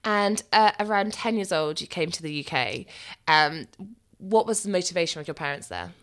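A woman's voice: lowish voice, animated, moderate pace, a bit bright. A woman hosting a podcast, introducing her guest and asking friendly questions. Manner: friendly, asks questions, laughs along. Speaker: podcast host. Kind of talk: podcast interview.

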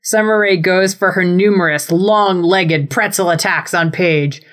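A woman's voice: lowish voice, steady tone, unhurried, fairly bright tone. A woman co-hosting a podcast, giving a casual, comedic recap with playful, wordy descriptions. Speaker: podcast co-host.

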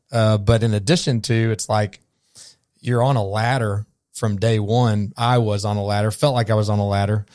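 A man's voice: fairly low pitch, darkish tone, no room sound, clean studio sound. A man from Memphis, a guest on a podcast, talking earnestly and thoughtfully and often restarting his sentences. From Memphis, USA. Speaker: guest, coach. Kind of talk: reflective podcast conversation.

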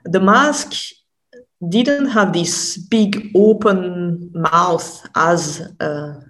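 A woman's voice: fairly low pitch, drawn-out words. A woman speaking as an academic guest in an interview, explaining thoughtfully and often stopping to rephrase. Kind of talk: unscripted explanatory interview talk.

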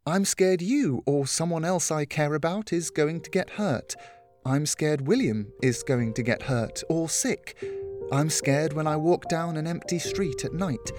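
A man voicing a gentle, reassuring woman. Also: on the high side, measured pace, over music, pitched above the performer's own voice, close to an everyday voice. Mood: soft reassuring. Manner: soft, patient, comforting. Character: gentle caring adult woman, calm and reassuring.

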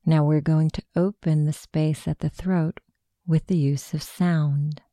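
A woman narrating a guided meditation, giving soft, deliberate, soothing instructions into a close microphone. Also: low voice, fairly even intonation, no room sound.

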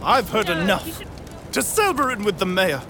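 A woman's voice: mid-range voice, very animated, normal speed, crowd noise, close to an everyday voice. A woman playing an indignant, rabble-rousing townsperson in an audio drama, agitated and exclamatory. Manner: agitated, loud-mouthed, exclamatory. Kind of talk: audio fiction drama acting.

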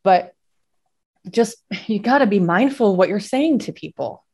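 A woman co-hosting a podcast, speaking earnestly and personally in a measured way. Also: mid-range voice, expressive, dark tone, breathy edge. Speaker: podcast co-host. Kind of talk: candid podcast conversation.

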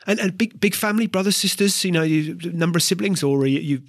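A man hosting an interview podcast, asking questions in a measured way with many fillers and restarts. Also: sits high, dry recording, studio quality. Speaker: podcast host.

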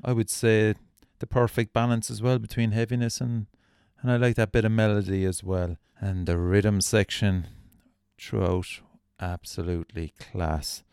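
A man's voice: fairly low pitch, flat delivery, normal speed, a little mellow, smooth, no echo, studio quality. A man hosting a music podcast, talking with enthusiastic, effusive praise. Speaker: podcast host. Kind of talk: music podcast talk and interview.

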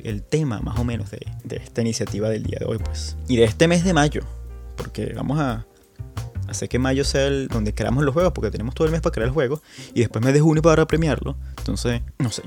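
A man's voice: mid-range voice, a little mellow. A man with a Venezuelan accent hosting a tabletop gaming podcast in a chatty, casual way, often restarting and repeating words. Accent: Venezuelan Spanish. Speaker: podcast host.

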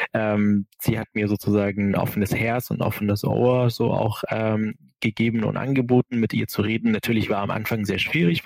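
Young man, slightly low, hesitant and earnest guest, dry sound. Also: creaky, dry recording. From Syria. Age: 20s. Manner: hesitant, many fillers, earnest. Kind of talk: conversational interview answers.

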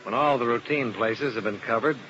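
A man's voice: medium pitch, a little fast, a bit bright, natural voice. An actor playing a hard-boiled male insurance investigator who narrates the case in a clipped, matter-of-fact way, in a 1950s radio drama. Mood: terse. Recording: muffled old radio recording with hiss.

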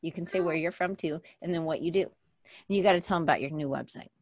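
A woman's voice: low voice, quick pace, a little mellow, dry recording. A woman hosting a group video call, playful and joking as she hands the turn to each guest. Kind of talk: casual chat.

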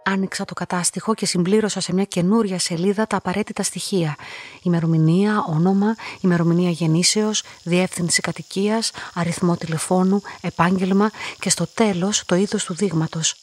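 An actress playing a solitary young woman in a radio play, polite, measured and introspective, quietly devoted and resolute. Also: on the low side, fairly even intonation, lightly acted. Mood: introspective narration. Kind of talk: radio drama acting and first-person narration.

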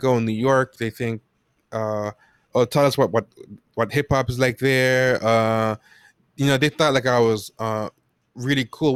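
An American man speaks in a smooth, clear voice at a middle pitch, recorded dry and close. He talks as a podcast guest, casual and reflective, often halting as he works out his thought. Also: no echo. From United States. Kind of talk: casual reflective interview talk.

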